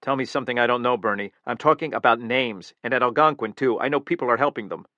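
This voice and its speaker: A man's voice: mid-range voice, quick pace, sharp tone, no echo, lo-fi sound, close to an everyday voice. A man voicing a bitter, menacing male killer who makes threats and flares into anger, in an audiobook reading. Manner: threatening, rising to angry outbursts.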